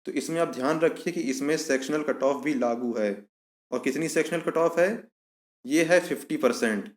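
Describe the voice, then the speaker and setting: A man with a slightly high voice. He explains official details step by step like an online instructor, restating key points so they stick. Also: moderate pace. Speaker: exam information channel host. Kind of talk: explanatory walkthrough of a recruitment notice.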